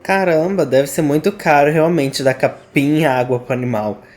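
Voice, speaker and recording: A man's voice: on the high side, brisk, a little mellow, faint music behind. Sarcastic Brazilian man commenting on a game. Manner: sarcastic, teasing. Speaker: gaming YouTuber. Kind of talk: casual playthrough commentary.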